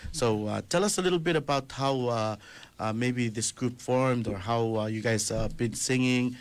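A man hosting a casual radio chat in a small studio, friendly and full of filler pauses, speaking into a table mic. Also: mid-range voice. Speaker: radio show host.

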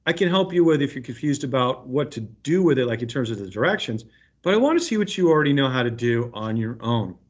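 A man's voice: mid-range voice, expressive, fast talker. A man teaching fifth grade, explaining an assignment to pupils in an informal, reassuring way over a video call. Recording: dull lo-fi video call audio.

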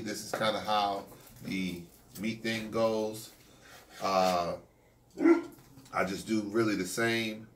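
A man in his forties talking casually while cutting meat for his kennel dogs in his backyard. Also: on the low side. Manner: relaxed, rambling, with fillers and chuckles. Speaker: dog kennel owner. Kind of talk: casual how-to vlog. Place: outdoor backyard patio. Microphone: phone camera from a few feet away. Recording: distant phone mic, noisy outdoors.

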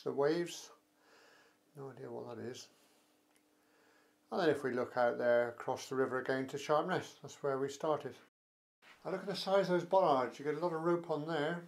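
A man narrating a walk outdoors, chatty and admiring, with wry asides. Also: a little high. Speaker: walking vlogger narrating. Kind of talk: casual walking commentary. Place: outdoors by a rural estuary and canal.

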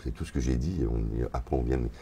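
A man's voice: very deep voice, racing, a little hiss or hum. An older man in his seventies, a voice actor, telling stories relaxedly in an interview, recorded with a lapel mic in a hotel lounge. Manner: relaxed storyteller, gestures while talking. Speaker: voice actor, guest. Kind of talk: interview conversation.

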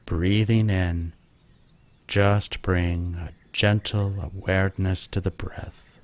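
Low, slow male meditation guide. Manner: gentle, calm instruction.

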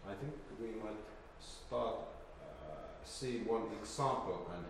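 A middle-aged man presents informally at a seminar, hesitant and halting. His pace is slow, and he is picked up by a distant microphone with long reverb around his voice. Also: lowish voice, very animated, vocal fry. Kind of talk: informal presentation with slide demonstration. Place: indoor seminar room with projector screen. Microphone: distant camera microphone. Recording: roomy, echoey, distant mic, faint noise.

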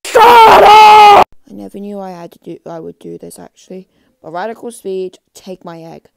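An amateur voice actor delivering exaggerated, exclamatory web cartoon lines, swinging between muttering and yelling. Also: mid-range voice, huge pitch swings, normal speed, vocal fry, heavy background noise.

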